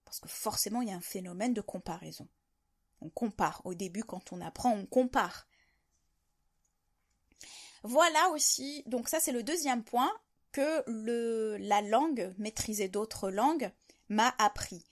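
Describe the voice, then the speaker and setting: Slow, thoughtful French-speaking woman. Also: on the high side. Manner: reflective, rephrasing ideas as she goes. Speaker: video host sharing language-learning reflections. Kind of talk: unscripted monologue to camera.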